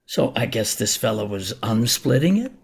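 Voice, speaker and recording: An actor playing a folksy older widowed homeowner in an audio comedy play, plain-spoken and rambling, with a gravelly, husky voice. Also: male voice, medium pitch, big pitch swings, normal speed, exaggerated voice. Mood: plain recollection.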